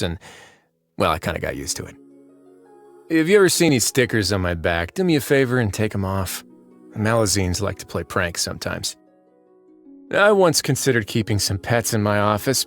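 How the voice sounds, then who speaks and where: An actor playing a calm, wry fortress warden in a video game, with a relaxed, measured, dry-humoured delivery. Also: male voice, on the low side. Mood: wry, welcoming. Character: calm, wry, authoritative male fortress warden, dignified but laid-back. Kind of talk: video game character voice lines, conversational monologue.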